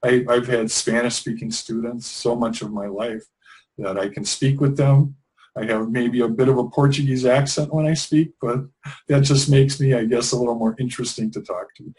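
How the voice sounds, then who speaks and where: A man's voice: mid-range voice, normal speed, poor audio. A middle-aged man, talking in an interview in an easygoing, rambling way, often restarting his sentences. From Wisconsin, USA. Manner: relaxed, rambling, with frequent restarts and fillers. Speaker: interview guest, English teacher trainer. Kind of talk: conversational interview.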